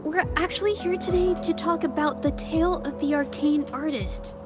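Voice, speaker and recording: An actress playing a shy, gentle young female painter in a video game, hesitant and polite. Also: sits high, moderate pace, music in the background, natural voice. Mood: polite, uncertain. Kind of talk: video game character acting.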